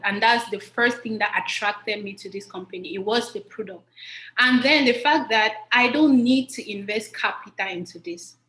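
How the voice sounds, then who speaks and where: A woman's voice: medium pitch, a bit bright. A woman from Cameroon, a guest on a livestream interview, answering earnestly and repeating her points for emphasis. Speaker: guest, business distributor. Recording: echoey room.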